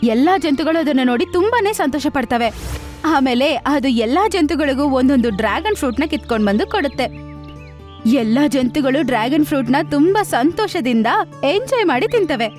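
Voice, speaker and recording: A woman narrating a children's cartoon story in an animated storytelling style. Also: sits high, normal speed, soft background music.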